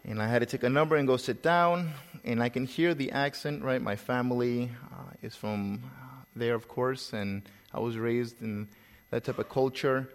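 A man from El Salvador with a slight Salvadoran Spanish accent, preaching a sermon in a relaxed storytelling way, with pauses and repeated words. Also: medium pitch, in a large hall.

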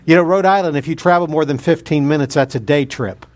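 A man's voice: fairly high pitch, fast, darkish tone. A man, a guest preacher opening his sermon in a jokey, folksy manner.

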